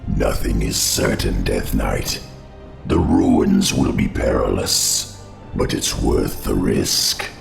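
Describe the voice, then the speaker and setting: An actor playing an ancient undead insect king in a game, grave, loyal and formal, with a guttural rasp. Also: extremely low pitch, expressive, full character voice. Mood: grave, measured. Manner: solemn, formal, measured. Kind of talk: video game cutscene acting. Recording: reverberant game audio over music.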